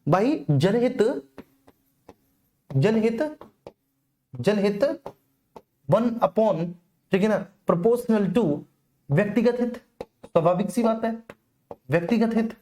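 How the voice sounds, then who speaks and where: A woman's voice: mid-range voice, expressive, slow, long pauses, mellow. A woman giving an informal exam-prep lecture as a coaching teacher, repeating key phrases for emphasis and often checking in with students.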